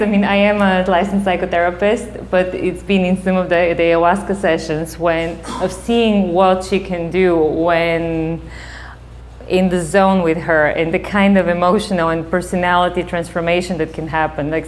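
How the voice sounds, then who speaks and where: Animated Bulgarian-accented woman speaker. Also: lowish voice. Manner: animated, laughs often, quick bursts of excitement. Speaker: psychotherapist giving a talk. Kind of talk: casual public talk.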